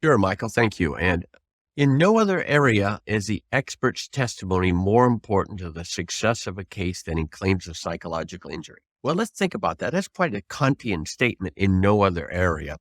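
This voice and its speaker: Older male lawyer guest, lowish voice, dry close sound, earnest folksy storyteller who punches his points. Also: very animated, fairly quick, dry recording. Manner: emphatic, earnest, folksy rhetorical questions. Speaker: guest, trial lawyer and author. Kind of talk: interview advice, storytelling.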